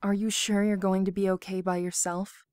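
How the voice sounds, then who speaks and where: A woman's voice: mid-range voice, little pitch movement, a loud crowd, natural voice. A woman playing a concerned, protective young recruit, speaking hesitantly in a fan audio drama. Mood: worried. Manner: hesitant, measured, worried.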